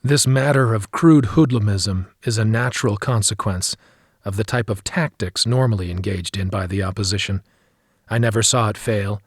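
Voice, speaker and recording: A man with a slightly husky voice reads a memoir as an audiobook narrator, in a measured, earnest manner. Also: fairly low pitch, no echo, clean studio sound.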